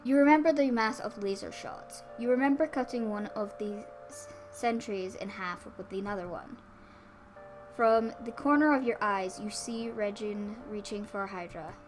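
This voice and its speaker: A woman's voice: medium pitch, moderate pace, faint music behind, poor audio. A woman reading a visual novel aloud as a let's play host, dropping in casual asides. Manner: informal asides between read passages.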